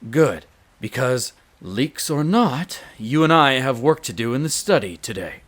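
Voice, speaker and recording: A man's voice: mid-range voice, expressive, measured pace, fairly bright tone. Guy doing a proud vampire lord, kinda reserved and bossy, voice moves up and down a lot, audio roleplay. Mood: brisk, dismissive. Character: proud, reserved male vampire master, secretly fond. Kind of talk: audio roleplay drama acting.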